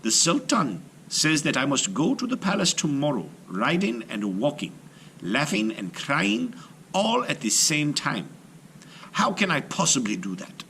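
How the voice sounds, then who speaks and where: Storybook coppersmith father, voiced by a man: honest, worried, earnest, bright tone with lively pitch. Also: unhurried, creaky, a little hiss or hum, heavily acted. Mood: despairing. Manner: miserable, earnest. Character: anxious, honest coppersmith, devoted Moroccan father.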